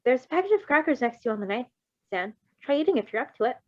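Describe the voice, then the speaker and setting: Woman reading calm, kindly male doctor, dry sound. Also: sits high, quick pace, no room sound, lo-fi sound, pitched above the performer's own voice. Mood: calm, reassuring.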